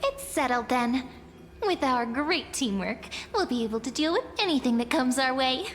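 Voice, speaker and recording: Playful young adventurer girl in a game, really high voice, earnest, long reverb. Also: lively intonation, normal speed. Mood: upbeat, encouraging. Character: bright, companionable young woman adventurer, playful yet dutiful. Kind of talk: video game voice acting.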